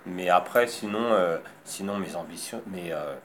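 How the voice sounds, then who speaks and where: A man born in Congo and raised in France, a designer answering interview questions in a halting way with many fillers. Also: low voice, fairly bright tone, a little hiss or hum.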